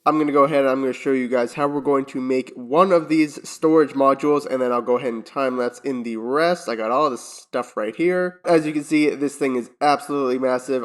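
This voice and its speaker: Chatty man narrating his gameplay. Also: mid-range voice. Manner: chatty, talks to himself while building. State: strained voice, recovering from voice loss. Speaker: Minecraft YouTuber. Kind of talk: casual gameplay commentary.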